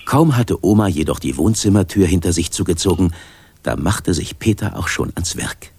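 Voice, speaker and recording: A man's voice: sits low, expressive, moderate pace, a little mellow, slight background noise. An older man narrating a children's radio play in a wry storytelling manner. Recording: accordion music under narration.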